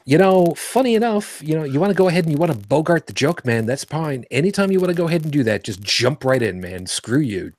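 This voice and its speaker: Forties male podcast co-host, husky, animated delivery, wry humor. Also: a little high, fast talker, few pauses, no echo, clean studio sound. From Brooklyn, New York. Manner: sarcastic, joking. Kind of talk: casual chat.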